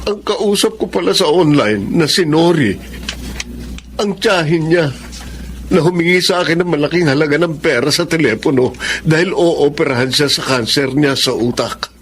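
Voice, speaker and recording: Remorseful Filipino husband, higher-pitched. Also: male voice, normal speed, fairly bright tone, slight background noise. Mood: remorseful, distressed. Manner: earnest, emotional delivery. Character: remorseful husband and newspaper artist confessing his mistakes. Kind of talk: Filipino radio drama acting.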